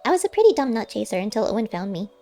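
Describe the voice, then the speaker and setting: Woman voicing a high, wistful male squirrel. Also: very animated, rapid-fire, dark tone, faint music behind. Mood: plain-spoken, wistful. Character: magically clever male squirrel, wistful and bargaining. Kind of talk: audiobook character voice.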